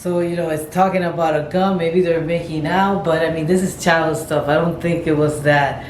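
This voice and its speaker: A woman's voice: deep voice, fairly even intonation, moderate pace, faint music behind. A middle-aged woman giving casual, rambling commentary, thinking aloud as she repeats and rewords herself. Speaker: vlogger paying homage to a pop singer. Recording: echoey room with faint background noise.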